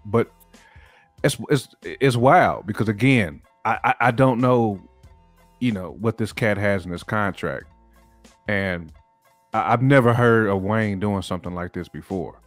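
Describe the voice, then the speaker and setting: A man's voice: lowish voice, unhurried, no echo, faint music behind. An American man chatting casually as a panelist on a podcast. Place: indoor room on a video call.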